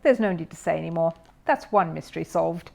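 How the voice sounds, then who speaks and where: A woman voicing a brisk, shrewd female housekeeper in a children's storybook, dry and disapproving. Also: deep voice, expressive, gravelly, darkish tone, heavily acted. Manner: dry, snorting. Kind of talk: children's storybook character reading.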